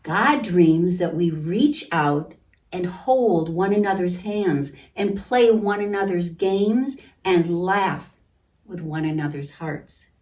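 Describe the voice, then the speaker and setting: A woman reading a picture book aloud to children in a gentle, deliberate manner, pausing to comment and ask questions. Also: lowish voice. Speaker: children's storytime reader at a church. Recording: distant microphone.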